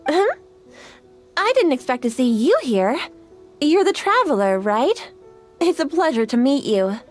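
A formal young shrine maiden. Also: female voice, high-pitched, very animated, faint music behind. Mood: composed. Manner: formal, courteous, measured. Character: polite young shrine maiden, composed and dutiful. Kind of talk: game voice acting.

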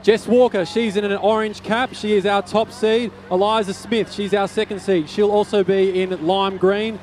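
A man co-commentating a live swim race, briskly running through the competitors outdoors. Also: high-pitched, steady tone, a little mellow, a little hiss or hum. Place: outdoors at a beach event.

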